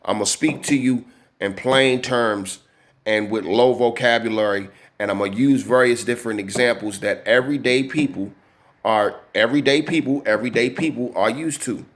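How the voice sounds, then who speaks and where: A man's voice: mid-range voice, normal speed. A man in his early forties hosting his own channel, talking casually at his desk, with heavy slang, a lot of cursing and constant "you know what I'm saying". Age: 40s. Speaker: channel host. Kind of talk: casual vlog talk to camera.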